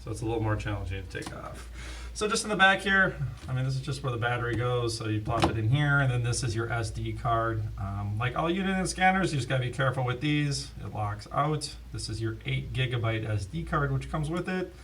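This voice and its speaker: A man's voice: mid-range voice, expressive, a little fast, a bit bright. A man hosting a casual, unscripted product demonstration, talking like an in-house expert. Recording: distant mic, faint background noise.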